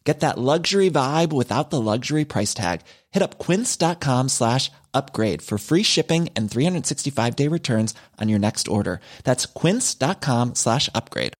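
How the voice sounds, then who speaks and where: A man's voice: medium pitch, expressive, moderate pace, hardly any pauses. A man giving an upbeat, breezy ad read. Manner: breezy, salesy. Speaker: ad reader.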